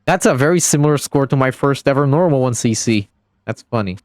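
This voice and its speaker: A man's voice: mid-range voice, expressive, a little fast, no room sound. A man giving casual live commentary on a game replay stream, chatting with viewers and laughing often.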